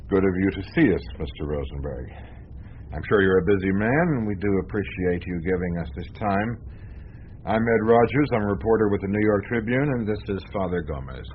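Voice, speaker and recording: A muffled, narrow-band radio drama recording with some noise carries a low-voiced, world-weary reporter. He is sardonic and theatrical, fond of a drink, and his delivery swings widely as he rambles. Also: animated, a little fast. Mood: pointed, probing.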